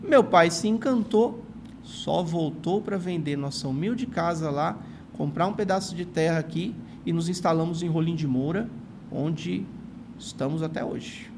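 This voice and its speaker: A man's voice: fairly high pitch, unhurried, vocal fry, background noise. A man in his forties from São Paulo with a São Paulo accent, a guest telling his life story in an earnest, grateful way, often adding "não é" to his sentences. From São Paulo, Brazil. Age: 40s. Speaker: guest, retired teacher and writer. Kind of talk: reflective storytelling in an interview.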